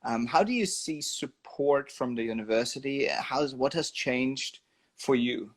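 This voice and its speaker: A man from Germany, hosting a sports podcast and asking questions in a halting way that restarts phrases. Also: medium pitch. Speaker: podcast host. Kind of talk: interview questions.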